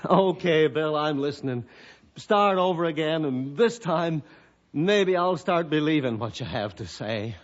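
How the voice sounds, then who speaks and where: An actor playing a stubborn, suspicious man with a gruff, challenging manner in a radio adventure drama. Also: on the high side, expressive, measured pace. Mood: puzzled, softened. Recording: dull lo-fi radio recording.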